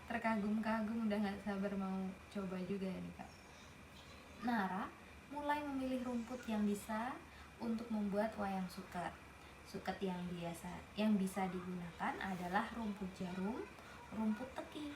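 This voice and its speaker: A woman reading a picture book aloud, stopping to explain the story to a young listener in a chatty way. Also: mid-range voice. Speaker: storybook reader. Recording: roomy with noticeable background noise.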